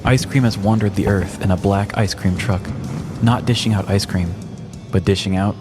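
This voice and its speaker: A man's voice: lowish voice, steady tone, normal speed, over music. A man podcast host reading a scripted comedic story in a mock-dramatic way.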